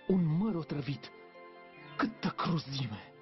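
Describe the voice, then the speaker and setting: A man's voice: fairly high pitch, lively intonation, close to an everyday voice. Guy playing brave lovestruck young prince, cartoon dub, declaims everything, dull sound w music. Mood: awed, troubled. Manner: ardent, declamatory. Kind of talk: dubbed cartoon acting.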